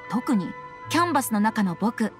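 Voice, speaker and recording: A woman's voice: a little high, sing-song, moderate pace, soft background music. A woman voicing a carefree, playful teenage boy bard in an anime-style video game, with a breezy manner. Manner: breezy, playful, self-admiring. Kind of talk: anime game dialogue acting.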